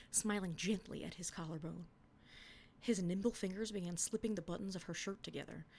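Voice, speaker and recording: A young woman reading her own old fan fiction aloud in a hushed, half-whispered voice, then chatting about it with giggly, self-mocking commentary. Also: on the low side, gravelly, slight background noise. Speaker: podcast reader.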